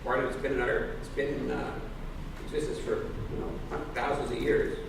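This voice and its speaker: A man speaking as a candidate at a public forum, halting, with many fillers and restarts. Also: medium pitch, steady tone, gravelly. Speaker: political candidate. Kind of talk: forum speech. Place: public library forum room. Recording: echoey room, distant mic, noise.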